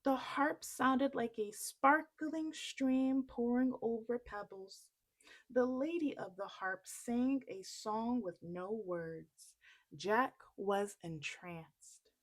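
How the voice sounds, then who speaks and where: Woman, children's storyteller, fairly high bright voice, slow deliberate pace, expressive read-aloud. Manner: unhurried, deliberate.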